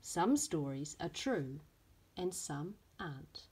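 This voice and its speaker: A woman's voice: deep voice, animated, takes it slowly, a little mellow, husky, full character voice. A woman voicing a big whiskery lion in a storybook, plain-spoken and dramatic. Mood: calm, friendly.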